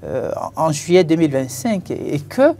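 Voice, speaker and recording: A panelist on a TV political talk show, speaking hesitantly with many pauses and restarts. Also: medium pitch, very animated, mellow. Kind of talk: TV panel debate commentary.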